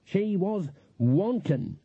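Man doing a stern, husky old woman witch. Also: high-pitched, animated, extremely slow, mellow, voice pushed up, heavily acted. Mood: indignant. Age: older. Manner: grumbling, dismissive. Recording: echoey, narrow phone-like audio.

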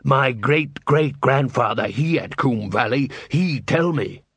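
A man voicing a belligerent troll constable who speaks slowly and haltingly in broken grammar. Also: medium pitch, a bit bright, breathy edge, no echo, full character voice. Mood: threatening. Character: slow, belligerent troll constable spoiling for a fight.

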